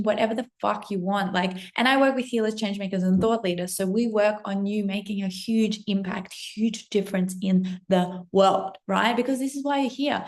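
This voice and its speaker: A woman from Russia in her thirties, talking straight to camera as a spiritual business coach, effusive and breathless, swearing freely and saying "like" often. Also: fairly high pitch. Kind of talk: excited casual monologue to camera.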